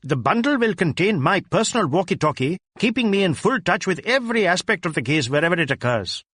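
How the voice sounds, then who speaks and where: A man's voice: sits high, sing-song, a little fast, a bit bright, slightly rough, dry recording, studio quality, voice pushed up, full character voice. A man voicing a self-important police superintendent, clipped and commanding, in an audio drama.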